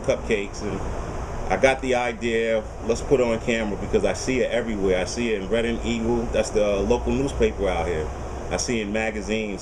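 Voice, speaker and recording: Rambling man interviewing on camera. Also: fairly low pitch. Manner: rambling, repeats words, upbeat. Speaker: interviewer, channel host. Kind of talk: casual interview. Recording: noisy background.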